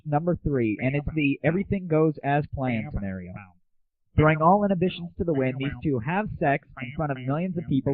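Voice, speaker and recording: A man hosting an internet audio show, delivering a crude, sarcastic and casual comic rant. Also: medium pitch. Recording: narrow, tinny lo-fi internet audio.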